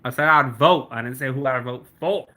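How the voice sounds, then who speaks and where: A man's voice: mid-range voice, a little fast, pushed and bright. A man in his late twenties hosting a casual livestream, chatting with viewers by name and laughing often. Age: 20s. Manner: laid-back, laughs often, talks to chat by name. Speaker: livestream host. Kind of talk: casual livestream chat reading comments.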